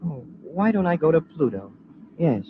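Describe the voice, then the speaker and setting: An actor playing an eager, earnest young space cadet in a 1950s radio adventure serial. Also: male voice, a little high, very animated, moderate pace, a little hiss or hum. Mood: dreamy, dazed. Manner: gee-whiz, earnest. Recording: dull vintage radio recording.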